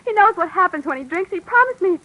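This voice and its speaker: A worried, pleading wife. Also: female voice, very high voice, lively intonation, fast, bright, forceful tone, full character voice, low-quality recording. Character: anxious young wife waiting up for her husband. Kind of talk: 1940s radio acting.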